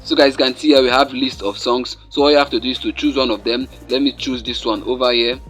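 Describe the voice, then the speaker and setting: A man's voice: medium pitch, steady tone, fast, a bit bright, faint music behind. A man narrating a phone app tutorial step by step, addressing viewers directly in an instructional way. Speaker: tutorial host.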